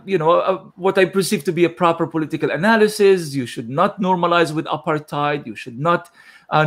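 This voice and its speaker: Earnest man from Gaza, slightly high voice. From Gaza, Palestine. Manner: earnest and measured, with frequent fillers and throat clearing. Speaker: guest speaker on a panel. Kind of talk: speech in an online panel discussion.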